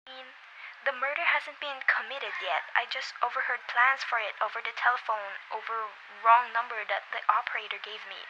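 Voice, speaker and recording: A woman's voice: a little high, normal speed, highs cut off, noisy background, lightly acted. A young woman in an amateur radio play acting an imperious, fretful married woman who is demanding and indignant. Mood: agitated, insistent. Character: imperious, agitated, self-important married woman. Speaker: amateur voice actor.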